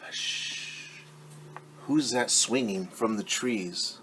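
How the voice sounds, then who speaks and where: A man reading a picture book aloud to young children as a teacher, with sing-song refrains and hushed, whispered asides. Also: lowish voice, extremely slow.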